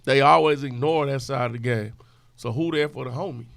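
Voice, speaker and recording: A man's voice: medium pitch, fairly quick, gravelly, fairly bright tone, no room sound. A man in his forties from with a drawl, talking earnestly and emphatically as a podcast guest in casual street slang. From Houston, Texas. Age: 40s. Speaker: podcast guest, club owner. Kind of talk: casual conversation.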